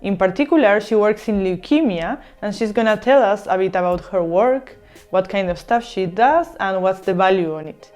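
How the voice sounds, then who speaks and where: A woman's voice: medium pitch, normal speed, darkish tone, soft background music. A young woman from Uruguay, a native Spanish speaker, hosting an online interview show and putting questions to a guest. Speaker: channel host and interviewer. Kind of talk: friendly video interview introduction and questions.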